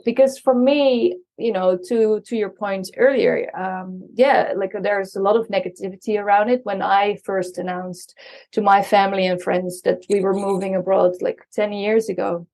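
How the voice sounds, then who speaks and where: Dutch-born woman guest, thirties, gravelly fry, lots of um and like. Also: mid-range voice, a little mellow. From the Netherlands. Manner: chatty, many ums, likes and restarts. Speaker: guest, marketing strategist. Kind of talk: casual conversation. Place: co-working space.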